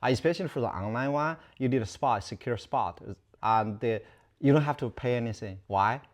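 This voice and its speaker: A man in his forties with a Chinese accent speaks casually as an interview guest. His English is non-native in its phrasing, and he tends to repeat short phrases as he explains things. His pitch sits in the middle range for a man. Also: brisk. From rural southwest China. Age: 40s. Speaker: guest, startup founder.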